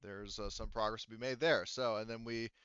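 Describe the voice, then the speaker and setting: A man working as game master, narrating a tabletop roleplaying game in a halting, conversational way. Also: lowish voice, steady tone, a bit bright, clear, resonant tone, no room sound, highs cut off.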